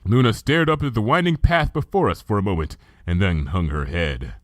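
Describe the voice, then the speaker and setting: A man reading a fan fiction story aloud, calm storytelling narration. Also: fairly low pitch, normal speed, no echo, clean studio sound. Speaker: reader. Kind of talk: first-person audiobook narration.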